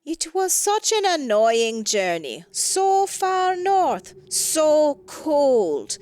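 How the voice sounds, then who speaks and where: Female storybook narrator, fairly high voice, very animated, with a wry theatrical delivery. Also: very slow. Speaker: story reader. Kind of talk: expressive scripted storybook narration.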